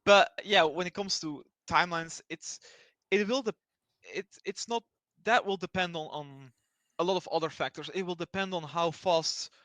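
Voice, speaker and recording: A man from Belgium giving explanatory answers as an interview guest. His voice is bright and a little high, and the sound is close and dry. He feels his way through sentences with halting restarts. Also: no echo. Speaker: interview guest speaking for a blockchain project.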